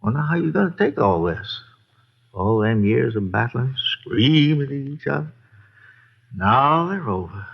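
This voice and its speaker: A man's voice: mid-range voice, measured pace, vocal fry, exaggerated voice. An actor playing a folksy, boozy older American man who rambles and repeats himself in an old radio drama. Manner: rambling, repetitive, folksy, excitable. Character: rambling, boozy, folksy male neighbor witness. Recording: dull, lo-fi old radio recording.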